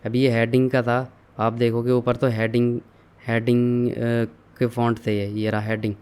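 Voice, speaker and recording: A young man calmly walking viewers through an online store setup, step by step, mixing English terms into his explanations. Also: mid-range voice, normal speed, clean tone. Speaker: online tutorial instructor. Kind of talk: screen-share software walkthrough.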